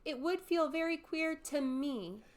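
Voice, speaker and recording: A young storybook girl, played by a woman, speaks in a high, lifted voice. She is courteous and earnest, hesitant but ready to stand up for herself. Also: a little slow.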